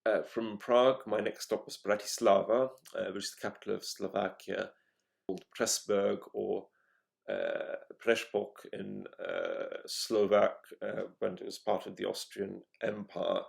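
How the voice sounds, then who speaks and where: A man hosting his own show, telling a travel story in a measured, halting way as he searches for words. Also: lowish voice, creaky. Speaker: podcast host. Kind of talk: solo travel monologue.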